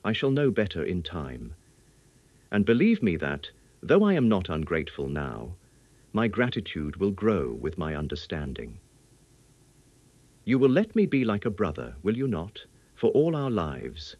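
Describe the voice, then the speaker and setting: A British man voicing a grieving, courtly young gentleman in an audiobook, speaking earnestly and formally with emotion. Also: sits low, wildly swooping pitch, unhurried, dry recording, highs cut off, exaggerated voice. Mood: grateful, solemn.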